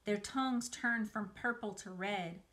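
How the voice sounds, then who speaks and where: A middle-aged woman narrates a children's book like an educator reading aloud. Her voice is bright and her delivery expressive and dramatized, recorded in a small room. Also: medium pitch, measured pace. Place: small room by a window, indoors.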